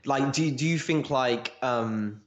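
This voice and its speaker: A man with a middle-pitched voice is heard in an echoey, roomy recording. He chats casually as a podcast co-host, feeling his way toward each point in roundabout phrases. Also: slow pace, muffled recording. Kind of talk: casual fan discussion.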